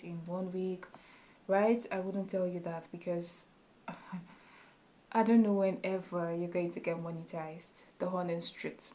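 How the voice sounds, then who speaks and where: A woman with a small, soft voice speaks slowly to her camera, chatty and encouraging. Her tone is mellow and warm, and her casual delivery is sprinkled with fillers. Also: fairly low pitch. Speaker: YouTube tutorial creator. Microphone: camera in front of her.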